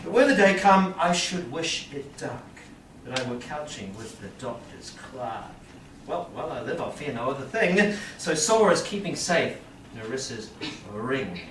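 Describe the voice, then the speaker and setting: A man's voice: medium pitch, sing-song, measured pace. A young man playing a cheeky, bawdy bridegroom with jokey declamation in a Shakespeare staged reading. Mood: wry, bawdy. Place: small theatre stage with audience. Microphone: far camera in the audience. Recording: roomy, distant, noisy theatre recording.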